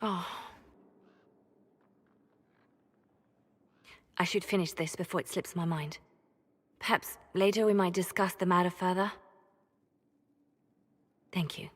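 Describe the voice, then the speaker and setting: A woman's voice: lowish voice, steady tone, normal speed, long pauses, big-room sound, lightly acted. An actress playing a reserved, watchful woman spymaster in a game cutscene, speaking carefully and formally. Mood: wistful, subdued. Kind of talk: dramatic game cutscene dialogue.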